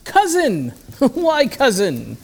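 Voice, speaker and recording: A man playing a genial, sociable Victorian gentleman in a period drama, courteous and animated. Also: very high voice, extremely slow, gravelly, full character voice. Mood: jovial surprise. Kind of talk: period audio drama acting.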